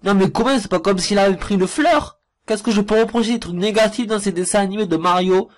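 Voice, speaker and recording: Sarcastic man with a fairly high voice. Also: brisk. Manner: sarcastic, jokey, scornful. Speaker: cartoon reviewer. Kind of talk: mocking commentary over clips.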